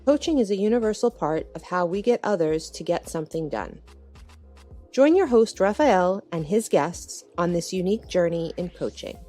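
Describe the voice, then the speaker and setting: A woman's voice: on the low side, expressive, normal speed, dark tone. A woman reading a scripted podcast intro in an upbeat, promotional way. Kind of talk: scripted announcement. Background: background music.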